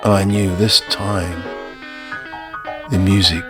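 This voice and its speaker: A man narrating a scripted first-person short story in a theatrical, intense way with deliberate phrasing. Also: low-pitched, level tone. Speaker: narrator and performer. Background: music.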